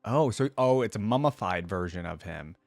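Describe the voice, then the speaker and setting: A man's voice: fairly low pitch, animated, moderate pace, vocal fry, a little mellow, dry recording. A man hosting a reaction video, giving casual, informal off-the-cuff commentary and thinking aloud as he goes. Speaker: video host reacting to game content.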